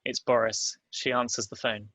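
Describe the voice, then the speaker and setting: A man's voice: medium pitch, level tone, normal speed, pushed and bright, dry recording. A man reading stage directions as the narrator of a screenplay.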